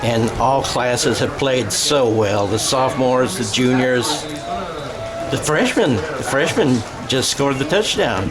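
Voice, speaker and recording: Unhurried man calling a game over crowd noise. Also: medium pitch, animated, poor audio. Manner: conversational, thinking aloud. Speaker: play-by-play commentator. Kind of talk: live radio-style sports commentary. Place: high school football game. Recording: crowd and music behind. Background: stadium crowd and music.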